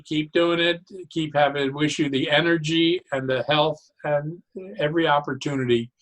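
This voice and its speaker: An older man speaking as a podcast guest, talking conversationally and encouragingly, with deliberate emphasis on key phrases. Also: medium pitch, a bit bright, low-quality recording. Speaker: podcast guest, laughter advocate. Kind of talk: conversational interview talk.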